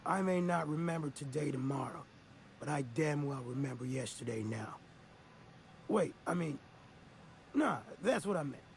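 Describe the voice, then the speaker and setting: A man's voice: on the high side, animated, normal speed, gravelly, pauses often, a little hiss or hum. An actor playing a grizzled older male ex-mercenary in a game, talking in a folksy, rambling drawl. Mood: weary. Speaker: game character.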